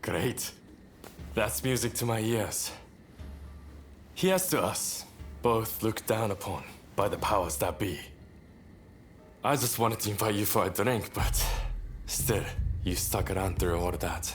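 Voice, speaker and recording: An actor playing a bold, charming young samurai rebel leader in a video game cutscene, relaxed and self-assured. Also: male voice, lowish voice, unhurried, pauses often, soft background music, lightly acted. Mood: easygoing, charming.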